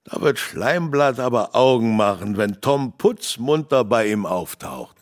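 A man's voice: mid-range voice, lively intonation, measured pace, dry recording, clean studio sound, natural voice. A man playing a polite, bashful older innkeeper in a children's audio drama, speaking in a hesitant, wondering way. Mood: curious, wondering.

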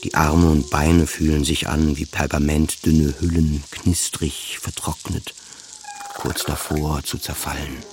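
A very deep-voiced depressed man. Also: flat delivery, measured pace, over music. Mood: exhausted, bleak. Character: depressed, self-doubting husband narrating his psychiatric stay. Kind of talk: introspective first-person radio play narration.

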